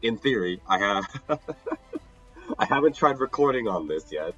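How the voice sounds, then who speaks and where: A man's voice: fairly low pitch, expressive, moderate pace, a bit bright, dull audio, soft background music. Tired, slightly nasal young man streaming. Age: young adult. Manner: rambling, self-interrupting, laughs often. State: a little sick and tired. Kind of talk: casual livestream chat while gaming.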